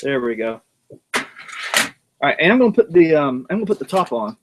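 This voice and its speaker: A man's voice: fairly high pitch, sing-song, a little fast, background noise. A man hosting a casual outdoor grilling vlog, rambling and joking as he cooks. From North Georgia, USA. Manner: rambling, jokey, talks while working the grill. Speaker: cooking show host.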